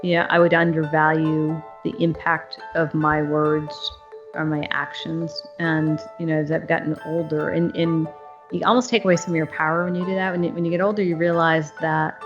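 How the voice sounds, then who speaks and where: A woman's voice: low voice, level tone. A middle-aged woman from northern Maine, a company CEO, answering interview questions reflectively with many fillers and restarts. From northern Maine, USA. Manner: thoughtful, halting, many fillers. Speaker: CEO, podcast guest. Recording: dull lo-fi sound, faint music.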